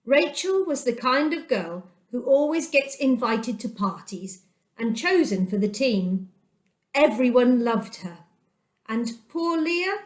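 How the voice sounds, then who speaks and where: A woman tells a children's Bible story in a fairly high, very animated voice. She takes her time, pausing dramatically for emphasis like someone reading aloud to young listeners. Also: reverberant.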